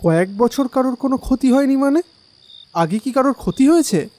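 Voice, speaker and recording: Guy doing a young curious visitor narrating a horror audio drama, soft mellow voice, big reverb. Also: very high voice, lively intonation, brisk. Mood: curious, uneasy.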